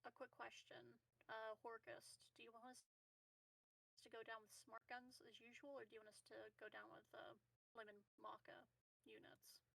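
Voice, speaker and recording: A woman asks quick questions as a player in a tabletop game, making sure of the plan. Her voice has a gravelly fry and sits a little back from the mic. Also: fairly high pitch, flat delivery, fairly bright tone, no echo, distant mic, low-quality recording. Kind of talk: casual tabletop game chat.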